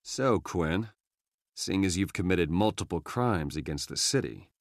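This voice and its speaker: An actor playing a measured, authoritative male guard captain in a video game. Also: low-pitched, animated, dry recording, studio quality. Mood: stern.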